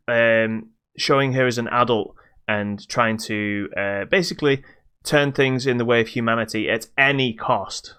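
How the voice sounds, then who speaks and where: A man co-hosting a podcast, reviewing games in casual chat with halting explanations that trail into dismissive asides. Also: medium pitch, fairly bright tone, clear, resonant tone. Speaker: podcast co-host.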